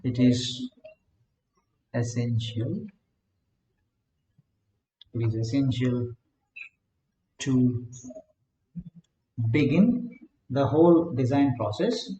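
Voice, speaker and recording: A man lecturing a class who often checks in with "right?", repeats words and dictates notes slowly. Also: medium pitch, warm tone, a little hiss or hum. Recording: echoey classroom, dull lo-fi sound.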